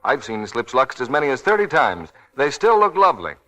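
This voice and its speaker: An American man working as a radio announcer, giving a polished, scripted 1940s sales pitch. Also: very animated, fairly quick, bright, forceful tone.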